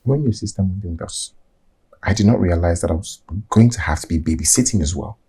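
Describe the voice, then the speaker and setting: An actor playing a reluctant husband who grumbles drily about favors. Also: male voice, deep voice, brisk, dark tone, slightly rough, heavily acted. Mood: grudging.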